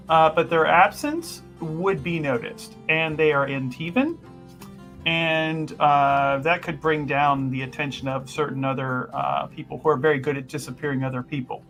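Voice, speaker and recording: A man playing a smooth-talking schemer in a tabletop game, persuading in a halting, wheedling, euphemistic way. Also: on the high side, fairly bright tone.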